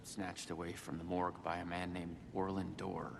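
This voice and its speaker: A bewildered, worn-down sheriff in a video game thriller. His voice is deep and creaky with gravel, sounding lost in a strange place, with clear ambient noise around him. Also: little pitch movement, normal speed. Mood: weary, puzzled. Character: weary, bewildered sheriff trapped in a dreamlike otherworld. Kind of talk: video game cutscene acting.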